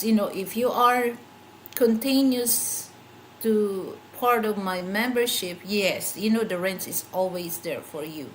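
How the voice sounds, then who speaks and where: A woman's voice: medium pitch, a little hiss or hum, poor audio. A middle-aged woman with a Filipino accent, whose first language is a Philippine language, hosting her own channel in a casual, rambling livestream chat and searching for words as she goes. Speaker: channel host.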